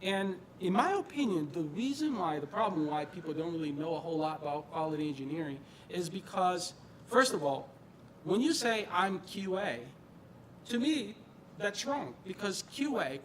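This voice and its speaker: Middle-aged male presenter, high-pitched voice, informal and emphatic meetup delivery, light rumbly noise behind. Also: lively intonation, normal speed, low-quality recording. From born in Brazil, grew up in northern New Jersey, US. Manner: conversational, emphatic, repeats phrases for stress. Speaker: presenter, quality engineering manager. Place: meeting room presentation before an audience.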